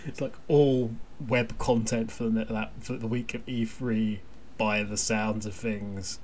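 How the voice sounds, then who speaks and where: A man's voice: on the low side, little pitch movement, unhurried, a little mellow, slight background noise. A man hosting a podcast in casual chat, with a wry, self-deprecating, grumbling manner. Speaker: podcast host.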